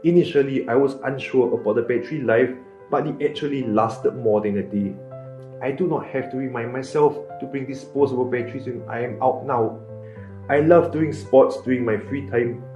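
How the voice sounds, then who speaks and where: A man's voice: mid-range voice, normal speed, mellow. Male, 20s, scripted customer testimonial, echoey and slightly muffled recording. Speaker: product user giving testimonial. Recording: roomy, dull sound under music.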